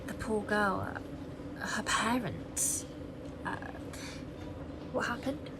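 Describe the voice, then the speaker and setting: An earnest, authoritative female detective played by a woman in a naturalistic audio drama. She speaks slowly at a middling pitch, with hesitant pauses. There is faint noise behind her. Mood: shocked, disbelieving. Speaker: actor in an audio play.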